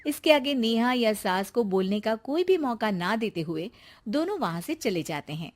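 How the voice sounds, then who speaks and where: A woman's voice: a little high, sing-song, normal speed, loud music behind the voice. A woman reading a scripted family story as its narrator.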